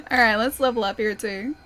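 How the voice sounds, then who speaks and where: A woman's voice: on the high side, fairly bright tone, a little hiss or hum. A woman livestreamer chatting casually while playing a game, reading viewer comments aloud and giggling often. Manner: chatty and giggly, with many fillers like "like" and "dude".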